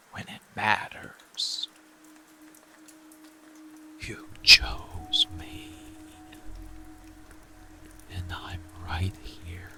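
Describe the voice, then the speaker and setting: A man voicing a seductive, possessive, protective monster lover in intimate ASMR roleplay, with a breathy, gravelly, often whispered voice and slow, halting, lingering delivery. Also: low-pitched, a bit bright, slight background noise, heavily acted. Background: rain and music.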